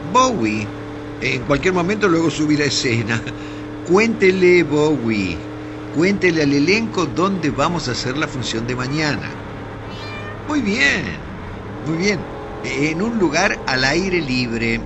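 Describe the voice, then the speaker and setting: A man's voice: on the high side, big pitch swings, measured pace, dull audio. A man playing the good-natured, pompous leader of a touring theatre troupe in a radio drama, ceremonious and emphatic, with an Argentine accent. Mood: playfully solemn. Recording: noisy vehicle ambience.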